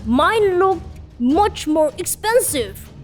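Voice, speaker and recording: A greedy, selfish rich man from a storybook, played by a woman. The voice is high and drawn out, emphatic and slyly insincere. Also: big pitch swings, takes it slowly, faint music behind, heavily acted. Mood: falsely protesting.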